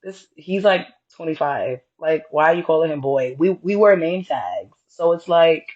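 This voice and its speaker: Low-voiced woman with a reserved tone. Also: expressive, moderate pace, darkish tone, highs cut off. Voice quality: deeper voice. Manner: reserved, straightforward tone. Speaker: podcast co-host. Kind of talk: casual conversation.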